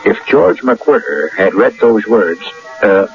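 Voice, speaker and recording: A man's voice: lowish voice, sing-song, slow, vocal fry, soft background music. A man hosting a radio drama with theatrical, literary, scripted storytelling narration. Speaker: host and narrator. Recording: dull vintage radio broadcast.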